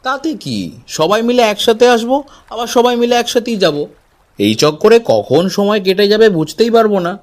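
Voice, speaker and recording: A man's voice: high voice, expressive, moderate pace. A man voicing an eager, persuasive village fisherman in a Bangla cartoon. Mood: reassuring.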